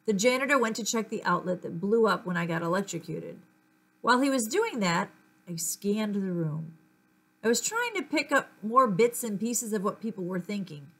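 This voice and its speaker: A woman reading a children's book aloud as an expressive narrator. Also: mid-range voice, moderate pace, low-quality recording. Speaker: story reader.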